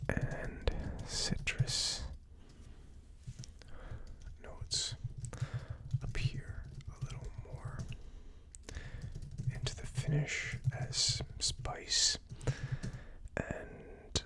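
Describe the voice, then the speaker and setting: An ASMR host whispering a tasting review in a hushed, unhurried, musing way. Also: very high voice, wildly swooping pitch. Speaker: host of a whiskey tasting channel.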